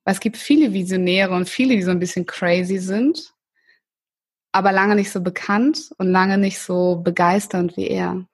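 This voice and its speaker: A woman's voice: mid-range voice, steady tone, moderate pace, husky. A woman from northern Germany, a career coach talking enthusiastically as a podcast guest, with lots of fillers and restarts. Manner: warm, enthusiastic, chatty with many fillers. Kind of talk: casual interview talk.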